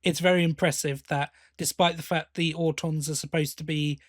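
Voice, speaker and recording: A man's voice: on the high side, fairly even intonation, moderate pace, no echo, studio quality. A man co-hosting a podcast in casual review chat, often hesitating and correcting himself as he goes. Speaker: podcast co-host.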